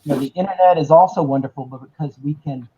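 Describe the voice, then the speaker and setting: A man's voice: a little high, fast talker, crowd noise. A man hosting a book launch interview, asking questions hesitantly with many fillers. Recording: dull lo-fi sound.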